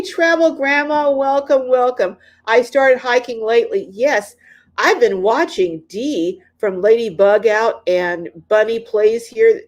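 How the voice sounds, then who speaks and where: A woman in her late sixties hosting a casual livestream, reading viewers' comments aloud and chatting back, often repeating herself and pausing with ums. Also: medium pitch, darkish tone. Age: 60s. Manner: chatty, repeats phrases, frequent ums and restarts. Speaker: livestream host. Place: inside her van or RV.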